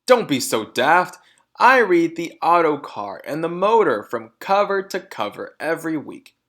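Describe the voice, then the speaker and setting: Man doing a loud, blustering, boorish father. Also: fairly high pitch, very animated, measured pace, voice pushed up, heavily acted. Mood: indignant.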